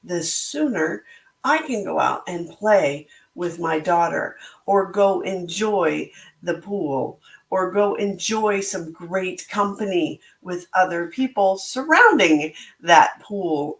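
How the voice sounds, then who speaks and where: A middle-aged woman speaks slowly and deliberately, her voice slightly hoarse with a gravelly edge. She talks in a thoughtful, motivational manner, as if reflecting aloud. The room around her is echoey. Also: mid-range voice. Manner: slow, thoughtful, motivational delivery. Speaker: podcast host and book club organizer. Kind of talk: reflective solo talk.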